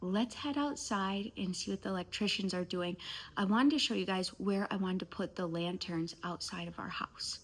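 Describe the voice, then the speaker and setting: A young woman from the United States, a family vlogger, chatting casually to camera and rambling through a story in quick runs with soft, hushed stretches. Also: medium pitch, slight background noise. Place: home indoors, then an outdoor house build site.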